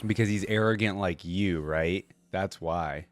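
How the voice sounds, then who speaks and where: A man's voice: low voice, unhurried. A man hosting a reaction video, commenting casually and musing aloud in an informal way. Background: faint music.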